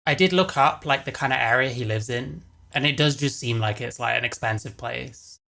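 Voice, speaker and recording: A man talks casually as he reacts live on a stream. His voice is sharp and bright, with a noticeable creak running through it. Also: medium pitch, moderate pace.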